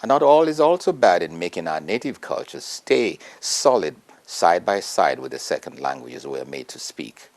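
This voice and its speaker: A man reading a prepared essay aloud in a measured, earnest way. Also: sits low, very animated, gravelly, a little mellow. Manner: measured, earnest oratory with emphatic rises.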